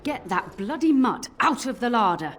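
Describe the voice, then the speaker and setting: An actress playing an irascible, bossy castle cook in a fantasy video game, scolding and blustering. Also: female voice, fairly high pitch, very animated, normal speed, fairly bright tone, faint music behind. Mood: exasperated anger.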